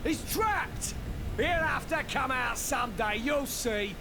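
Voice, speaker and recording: A man's voice: very high voice, expressive, takes it slowly, gravelly, noisy background, exaggerated voice. A man playing an agitated, complaining commoner from a street mob in a video game. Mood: indignant.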